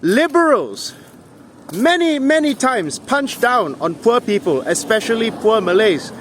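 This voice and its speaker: A man's voice: very high voice, very animated, unhurried, slight background noise. A man delivering an opinionated political monologue in an emphatic, indignant, rhetorical manner. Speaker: political commentator.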